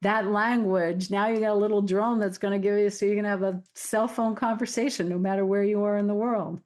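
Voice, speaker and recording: A woman's voice: medium pitch, steady tone, a little fast, a little mellow. A woman hosting an interview podcast, chatty and enthusiastic, with lots of little interjections. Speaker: podcast host. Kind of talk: casual interview conversation.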